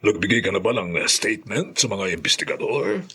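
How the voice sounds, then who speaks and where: A man acting as a formal, inquiring attorney with a very raspy voice in a Filipino radio drama. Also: lowish voice, very animated, brisk, sharp tone, full character voice. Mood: solemn, inquiring.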